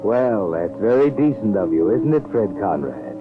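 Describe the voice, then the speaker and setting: An actor playing a mysterious, sardonic storyteller narrating a 1940s radio mystery with an ominous, deliberate manner. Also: male voice, on the low side, big pitch swings. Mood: mocking. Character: mysterious, sardonic male night-walking storyteller. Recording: tinny 1940s radio recording, music behind.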